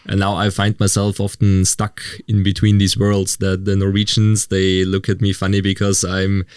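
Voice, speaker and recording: A male podcast host with an Austrian accent puts conversational questions to his guest. His voice is on the low side and stays very flat in pitch. He is a native German speaker, recorded dry with hardly any room sound. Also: normal speed, smooth, dry recording.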